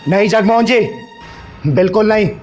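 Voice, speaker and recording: A man's voice: sits high, normal speed, a little mellow, faint music behind, close to an everyday voice. A man playing a bold, righteous kinnar elder sister in a Hindi short film, speaking emphatically. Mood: righteous scolding.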